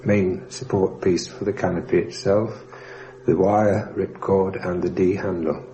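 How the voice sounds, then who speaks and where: Low, mellow man recounting wartime memories. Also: low-quality recording. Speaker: former airman and prisoner of war recounting his experience. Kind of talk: spoken first-person reminiscence in a documentary. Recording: faint hum, 1960s radio documentary recording.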